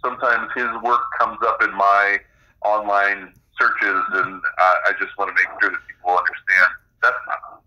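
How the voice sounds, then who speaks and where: A low-pitched male interview guest with a very bright, forceful edge to his voice. He explains things in a conversational way, pausing between phrases, and sounds band-limited, like someone on a phone or radio line. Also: little pitch movement, muffled recording.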